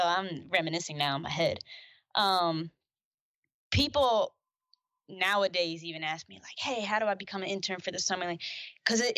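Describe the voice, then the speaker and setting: A woman's voice: medium pitch, bright, forceful tone, dry recording, clean studio sound. A young adult woman speaking as a podcast guest in casual conversation, chatty, with frequent fillers and restarts. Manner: persistent-sounding, chatty, many restarts and fillers. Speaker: podcast guest, basketball staff.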